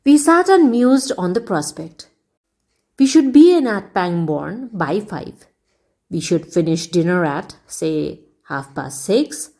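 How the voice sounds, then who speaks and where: A woman storyteller reading a story aloud in a measured, expressive, audiobook-style narration. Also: on the low side.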